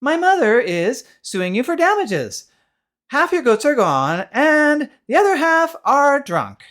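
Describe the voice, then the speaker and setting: A woman's voice: high-pitched, very animated, takes it slowly, a bit bright, voice pushed up, full character voice. A woman voicing an exasperated young man with a screechy, scolding delivery in a comic audio story. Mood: despairing.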